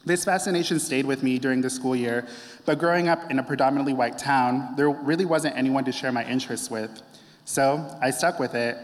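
Earnest young man speaking in a hall. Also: mid-range voice. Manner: earnest, heartfelt, measured. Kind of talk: prepared speech. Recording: long hall reverb.

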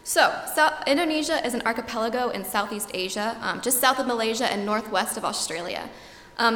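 A woman's voice: a little high, a bit bright. Young woman presenting in an echoey hall. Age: young adult. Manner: frequent ums, earnest and informative. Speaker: student presenter. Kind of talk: slide presentation to an audience. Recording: echoey hall, long reverb, faint room noise.

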